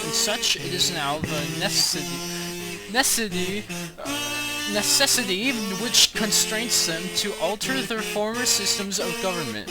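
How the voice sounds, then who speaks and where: An amateur reader of unclear sex reads a formal historical document aloud, haltingly, stumbling over long words and losing their place. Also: mid-range voice, low-quality recording. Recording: loud music behind the voice. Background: loud instrumental music.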